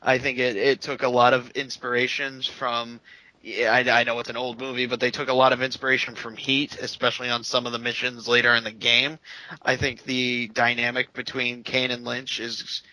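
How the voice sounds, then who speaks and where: A man talks through video games in a casual podcast, bright and forward in tone. He holds forth with strong opinions, often tripping over himself and restarting his sentences. The sound is dry and close. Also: medium pitch, level tone, moderate pace, no room sound, poor audio. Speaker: podcast co-host. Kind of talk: casual gaming chat.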